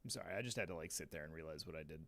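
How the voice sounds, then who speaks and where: Sniffly, animated young man streaming. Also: rumbling low, rapid-fire, gravelly. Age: 20s. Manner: animated, laughs often, slangy. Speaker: streamer hosting a watch party. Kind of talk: casual livestream chat.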